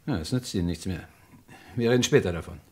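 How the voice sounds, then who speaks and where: An actor playing a composed, authoritative police inspector in a radio crime drama, matter-of-fact and commanding. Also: male voice, sits low, expressive, fast, a little mellow, husky. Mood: stern, controlled. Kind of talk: 1950s-80s German radio crime drama acting.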